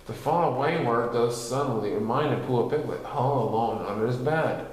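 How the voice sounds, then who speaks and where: A man reading a children's story aloud as narrator, in an expressive storytelling style. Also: mid-range voice, moderate pace. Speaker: storybook reader. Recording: very echoey, reverberant room.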